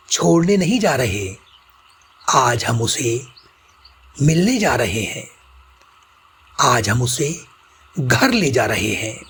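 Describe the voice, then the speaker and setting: A man with an Indian accent guiding a meditation, speaking gently and soothingly in short, deliberate phrases. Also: medium pitch, slightly rough. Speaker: guided meditation host. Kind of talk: slow guided meditation narration.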